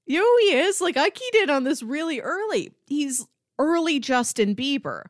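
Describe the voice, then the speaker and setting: A woman's voice: high voice, sing-song, normal speed, no room sound. Woman chatting casually, close dry sound. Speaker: comics podcast host. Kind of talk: casual chat about comics.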